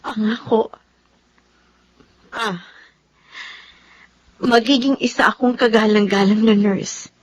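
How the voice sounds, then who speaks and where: A woman's voice: fairly high pitch, steady tone, slow pace, pauses often, rough recording. An actress with a Filipino accent playing an earnest, anguished young daughter who defies her family, in a life-story drama. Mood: earnest, resolute. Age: young adult. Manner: emotional, pleading. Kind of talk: Tagalog radio-style life drama acting with narration.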